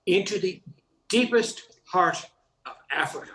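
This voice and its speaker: A woman's voice: fairly low pitch, expressive, a little slow, fairly bright tone. A woman playing an earnest, patriotic professor with a mock-solemn, dramatic delivery in a comic radio play.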